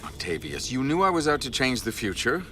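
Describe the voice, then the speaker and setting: A man's voice: medium pitch, very animated, brisk, slight background noise. An actor playing a cold, scheming male villain in a video game, smug, commanding and dismissive. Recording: loud music behind. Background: loud game music.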